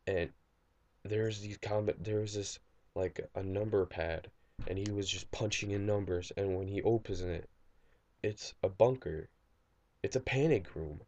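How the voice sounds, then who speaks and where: Guy playing shaken young survivor doing an audio diary, deep voice, slow and stumbling over words. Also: darkish tone, highs cut off. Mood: uneasy, bewildered. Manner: halting, distraught, stammering and repeating himself. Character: traumatized young man recording an apocalypse survivor's audio log. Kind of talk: amateur audio drama monologue, diary-like.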